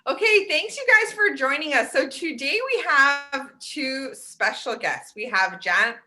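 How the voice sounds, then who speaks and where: A woman's voice: sits high, lively intonation, unhurried, over music. A woman co-hosting an interview show, upbeat and chatty with self-deprecating asides. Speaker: podcast co-host.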